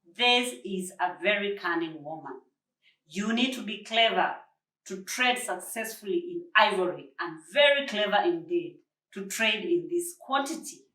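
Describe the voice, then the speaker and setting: A woman reading the part of a patient, firm policeman in a children's storybook, measured and emphatic. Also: mid-range voice, animated, pushed and bright. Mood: skeptical. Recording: roomy, distant microphone.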